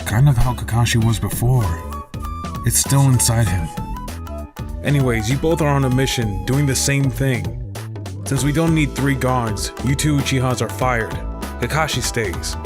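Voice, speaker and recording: A man acting a measured young ninja mentor. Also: medium pitch, over music, natural voice. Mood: mild, placating. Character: mild, responsible young ninja mentor and husband. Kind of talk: anime fan radio drama acting.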